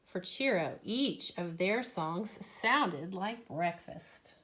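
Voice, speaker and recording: A woman reading a children's storybook aloud expressively, with measured, deliberate phrasing. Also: fairly low pitch, lively intonation, bright, forceful tone.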